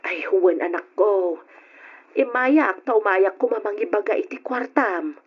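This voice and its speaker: An actress with an Ilocano accent playing a needy, coaxing older mother with a husky voice in an old radio drama. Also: medium pitch, measured pace. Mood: pleading, coaxing. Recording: dull, lo-fi old radio recording.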